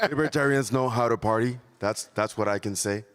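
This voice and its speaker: A man from Panama speaking from the audience at a conference on a handheld mic, his voice raspy after a night out, cheerful and joking. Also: medium pitch, expressive, normal speed, fairly bright tone, slight background noise. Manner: cheerful, joking, laughs between lines. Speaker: conference attendee. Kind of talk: casual remarks from the audience. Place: large conference hall. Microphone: handheld mic passed around. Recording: long hall reverb.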